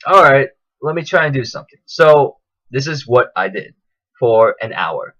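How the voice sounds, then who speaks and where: A man telling a casual, enthusiastic vlog recap in a chatty, animated way. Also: mid-range voice, moderate pace, muffled recording. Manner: animated, chatty, acts out quotes in raised voices. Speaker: vlogger.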